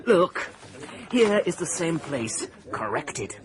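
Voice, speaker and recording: Conceited landscape improver, condescending, chuckling, lively intonation, radio play. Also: male voice, fairly high pitch, slow pace. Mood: smug. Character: smug male landscape improver, fussy and conceited. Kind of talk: 1980s radio drama.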